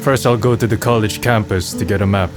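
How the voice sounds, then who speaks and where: A man's voice: fairly low pitch, fairly even intonation, fairly quick, over music, lightly acted. A man playing a melancholy, resigned young man, subdued and introspective, in a manga dub. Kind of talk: first-person narration and dialogue in manga dub.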